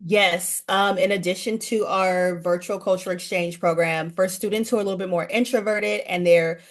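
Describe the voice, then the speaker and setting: A woman's voice: fairly low pitch, fairly even intonation, normal speed. A woman guest running a language program, chatting earnestly in a casual interview.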